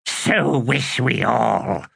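A man voicing Olar Ethil, an ancient female sorceress with a raspy, contemptuous voice, in an audiobook reading. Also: medium pitch, extremely slow, fairly bright tone, no echo, pitched above the performer's own voice, full character voice.

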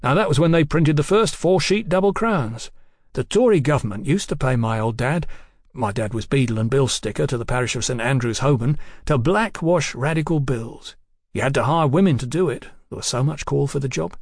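A man's voice: mid-range voice, expressive, no echo, clean studio sound. A man voicing an easy-going, talkative working-class bill-sticking boss in an audiobook, with folksy, colloquial phrasing. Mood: proud, placid. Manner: folksy, unhurried, colloquial, garrulous.

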